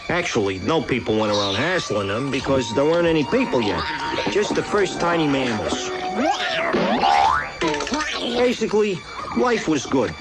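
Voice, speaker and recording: An actor playing a folksy, wry storyteller in a dramatic film prologue voiceover. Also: male voice, mid-range voice, sing-song, normal speed, pauses often, close to an everyday voice. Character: folksy, wry storyteller narrating a prehistoric tale. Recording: film clip under loud music.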